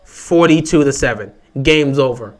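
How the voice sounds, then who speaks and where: Hoarse young man streaming game commentary. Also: medium pitch, normal speed. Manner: frustrated, resigned rambling. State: hoarse, sore throat. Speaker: livestream host. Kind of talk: casual live game commentary.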